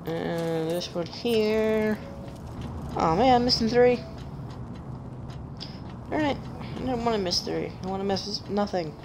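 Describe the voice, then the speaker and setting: A woman with a deep voice, chatting casually as she plays a game on stream, joking at herself and humming while she searches. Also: slow, pauses often, noisy background. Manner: chatty, self-mocking, giggly, hums while searching. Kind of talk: casual gameplay commentary.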